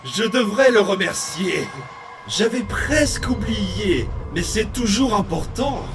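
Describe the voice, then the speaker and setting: A man's voice: high voice, lively intonation, slow, background noise, full character voice. A man playing a bitter, wounded demon boy in a dramatic comic dub, brooding and menacing. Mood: cold menace.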